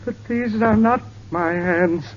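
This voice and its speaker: A man acting a tormented, hypnotized monster, halting and husky, in theatrical 1940s radio style. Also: sits high. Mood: bitter, anguished. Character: tormented male monster, hypnotized slave and former trapeze artist. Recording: dull 1940s radio recording.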